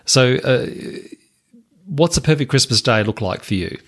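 A man's voice: medium pitch, expressive, normal speed, a bit bright. A man hosting a podcast interview, asking questions with hesitations and answering with short, friendly affirmations. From Australia.

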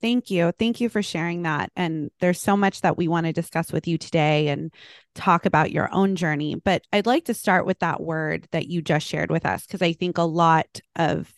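A woman hosting a podcast, asking a guest questions in a gentle, appreciative way. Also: lowish voice, normal speed, dry recording, clean studio sound. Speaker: podcast host. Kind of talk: interview questions.

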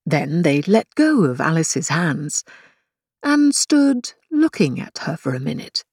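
A woman reading a children's story aloud in an expressive narrating style. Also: sits low, slow, breathy edge, no room sound, clean studio sound. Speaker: volunteer audiobook reader.

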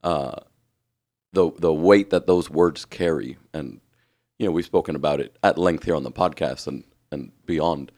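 Man, hoarse, raspy, very low voice, earnest, reflective, podcast co-host. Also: moderate pace, darkish tone. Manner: earnest, reflective, restarts often. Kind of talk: casual chat.

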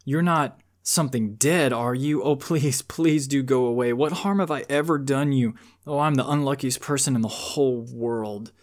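A man's voice: medium pitch, normal speed, few pauses, pitched above the performer's own voice, lightly acted. A man voicing a frightened young boy who is lost and pleading. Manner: pleading, near-scream.

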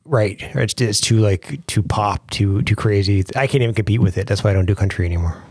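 A man's voice: lowish voice, brisk, darkish tone, husky, no room sound, studio quality. A Canadian man in his thirties, a comedian and former country singer, chatting loosely and joking in an interview. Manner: jokey, rambling, laughs often. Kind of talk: casual interview chat.

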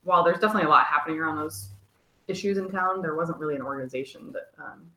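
A woman from Kansas, a nonprofit director, talking in a relaxed way with many pauses and ums. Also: deep voice, roomy sound, poor audio. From Kansas, USA. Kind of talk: casual interview.

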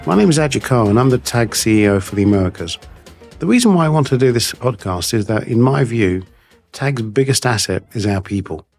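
A man's voice: mid-range voice, animated, brisk, darkish tone, soft background music. A man hosting a casual interview, polite and encouraging. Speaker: host.

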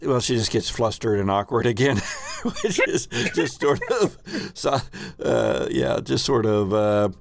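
A man acting as game master, narrating an improvised tabletop story in a halting, amused way. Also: medium pitch, sing-song, creaky, slight background noise.

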